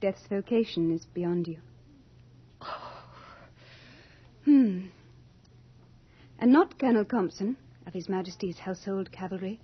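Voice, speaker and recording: An actress playing a young, beautiful female Death in a radio horror drama, theatrical and deliberate, capricious and longing to be mortal. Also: medium pitch. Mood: cool, judging. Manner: theatrical, deliberate, breathy at moments. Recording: dull old radio drama with music.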